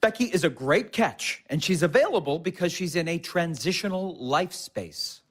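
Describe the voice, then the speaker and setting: Man voicing an earnest husband, defensive, audio drama, very animated pitch. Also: moderate pace, slightly rough. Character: man Andrew, earnest aspiring writer husband.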